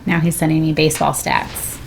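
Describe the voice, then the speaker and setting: A woman's voice: deep voice, big pitch swings, a little fast, gravelly, a little hiss or hum, low-quality recording. A middle-aged woman chatting casually as a guest on a live game stream. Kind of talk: casual chat.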